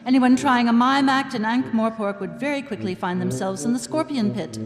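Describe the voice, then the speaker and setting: A woman's voice: on the high side, a little fast, a crowd in the background, lightly acted. A woman playing a wry storyteller, giving droll scripted narration for a comic fantasy in live audio theatre. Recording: live hall, music underneath.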